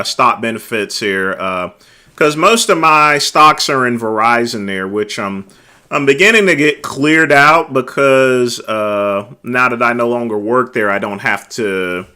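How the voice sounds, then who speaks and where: A middle-aged man hosting a casual late-night livestream, talking loudly and easygoing, chatting with his viewers. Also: medium pitch. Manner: loud, laid-back, drawls filler words like "there". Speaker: livestream host.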